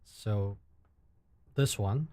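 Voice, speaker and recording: A man's voice: on the low side, drawn-out words, dark tone, no room sound. A young man from China, a native Mandarin speaker with a Chinese accent, chatting casually on a late-night livestream and often repeating words as he searches for English. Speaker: livestream host. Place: indoors at home, late at night.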